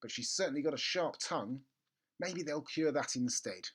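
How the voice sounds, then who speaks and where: A man's voice: fairly high pitch, expressive, moderate pace, a little hiss or hum, lightly acted. A man voicing a mischievous young storybook boy, scheming to himself with relish. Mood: sly.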